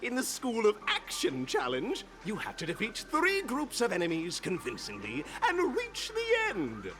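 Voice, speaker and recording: Showy game contest announcer, extremely animated, slow drawn-out emphasis, music backdrop. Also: male voice, sits high, heavily acted, rough recording. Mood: showy excitement. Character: zany high-voiced male stage announcer for a contest.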